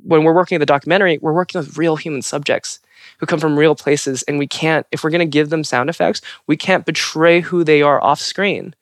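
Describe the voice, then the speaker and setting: Reflective man, fairly high voice. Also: steady tone, fairly quick, no echo. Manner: thoughtful, searching for words with restarts. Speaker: Foley artist. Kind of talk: interview talk.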